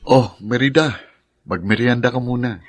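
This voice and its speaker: Teasing Filipino big brother, played by an actor. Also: male voice, mid-range voice, moderate pace, no echo, soft background music, close to an everyday voice. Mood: teasing, scolding. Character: teasing, fatherly older brother, nagging but fond. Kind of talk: radio drama acting.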